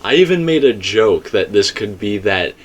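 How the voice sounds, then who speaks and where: A man with a slightly low voice holds forth in a casual discussion. He speaks emphatically and rambles, slipping into asides and quoting himself as he works through his theory as a commentator. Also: expressive, normal speed.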